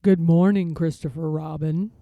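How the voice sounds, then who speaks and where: A woman's voice: deep voice, steady tone, moderate pace, gravelly, no echo, phone-quality sound, pitched below the performer's own voice. A woman voicing a small male bear from a storybook, earnest and muddled, musing ponderously in a simple-minded way. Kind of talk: storybook character voice.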